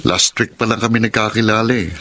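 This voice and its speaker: A man's voice: on the low side, fairly even intonation, quick pace, pushed and bright. A man with a Filipino accent playing an easygoing, self-assured young suitor in a Tagalog radio romance drama, music behind him. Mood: confident.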